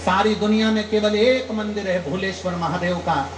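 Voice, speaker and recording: A man's voice: steady tone, moderate pace, echoey room, noisy background. A man giving a devotional sermon, telling stories and acting out their dialogue with emphatic rises. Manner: storytelling, acting out dialogue, rising emphatic pitch. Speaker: kathavachak preacher. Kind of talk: devotional katha sermon with anecdotes.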